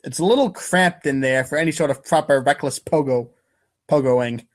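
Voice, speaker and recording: A man's voice: medium pitch, normal speed. A man giving a playful, theatrical, exclamatory reading of a comic as its narrator. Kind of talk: playful dramatic reading of a webcomic.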